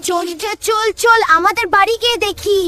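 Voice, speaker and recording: A woman's voice: very high voice, fairly even intonation, moderate pace, over music. A woman voicing a tiny, anxious ant in a children's cartoon, speaking with urgency. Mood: alarmed, urgent.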